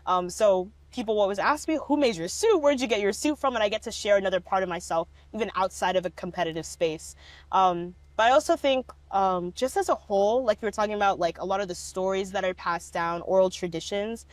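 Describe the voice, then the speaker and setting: A woman's voice: mid-range voice, brisk, no room sound. Young woman chatting in an interview, lots of "like", laughs a bunch, dry sound. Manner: laughing often, many "like" fillers. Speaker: documentary subject, speech competitor. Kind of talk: casual interview.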